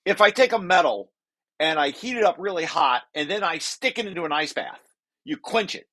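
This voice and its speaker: A man's voice: high voice, animated, fairly quick, pushed and bright. A man presenting a research paper draft informally to colleagues, repeating and rephrasing key phrases as he explains.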